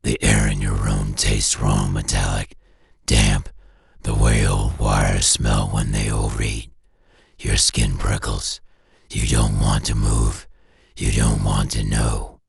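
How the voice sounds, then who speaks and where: Man, horror narrator, rock-bottom pitch, very husky rasp, ominous, deliberate clipped phrasing. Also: monotone, no echo, studio quality. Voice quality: gravelly, husky. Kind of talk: scripted analog horror narration, second-person.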